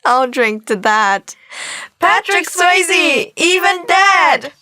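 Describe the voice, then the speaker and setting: A woman's voice: very high-pitched, lively intonation, very slow, noisy background, heavily acted. A young woman playing a confident, chatty young singer in an audio drama. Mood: playful, showy. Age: young adult. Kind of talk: scripted audio drama dialogue.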